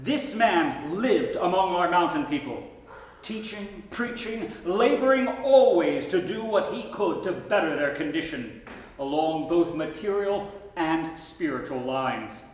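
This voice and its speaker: Actor as slow, echoing ceremonial male orator. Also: fairly high pitch, expressive. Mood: solemn, admiring. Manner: measured, solemn, rhetorical. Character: earnest, dignified 1920s small-town male lawyer giving a dedication speech. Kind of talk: formal ceremonial oratory on stage. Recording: very echoey hall with long reverb.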